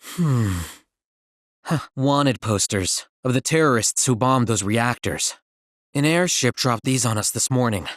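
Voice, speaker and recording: An actress playing a brisk, practical village woman in a video game, frank and watchful. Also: extremely low pitch, animated, no room sound, clean studio sound. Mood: appraising.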